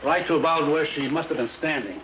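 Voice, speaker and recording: A man's voice: on the high side, brisk, lightly acted. A man playing a practical police crime-scene technician giving workmanlike instructions in a 1950s television drama. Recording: noisy 1950s television soundtrack.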